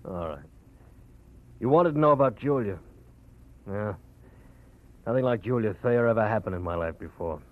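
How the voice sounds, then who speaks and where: Actor as a low, blustering mob boss, thin old radio sound. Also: male voice, animated, normal speed, pauses often, phone-quality sound, close to an everyday voice. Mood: wistful. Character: smooth male gangster boss, wary and bargaining. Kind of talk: 1950s radio drama acting.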